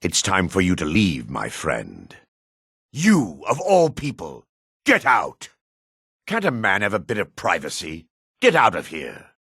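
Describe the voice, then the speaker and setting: An actor playing a gruff, proud male dark elf in a fantasy video game, with a rasping voice and theatrical delivery. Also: low voice, huge pitch swings, a little slow, no room sound, studio quality, heavily acted. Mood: stern warning. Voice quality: gravelly, rasping.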